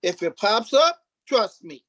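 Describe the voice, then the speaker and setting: A man's voice: high-pitched, very animated, a little slow, fairly bright tone, no echo. A man who acts on stage, talking informally in an interview and repeating phrases emphatically for stress. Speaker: stage play cast member, actor.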